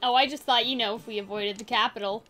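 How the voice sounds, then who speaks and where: Woman playing an upbeat, bright-voiced adventurous sister. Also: medium pitch, fairly even intonation, quick pace, soft background music, pitched below the performer's own voice, natural voice. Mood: disappointed, pleading. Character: Yang, upbeat adventurous sister who loves traveling.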